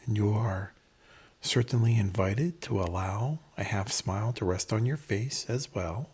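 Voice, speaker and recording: A man leading a guided meditation in a measured, instructional manner, pausing between phrases, with a breathy, husky voice. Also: on the low side, darkish tone.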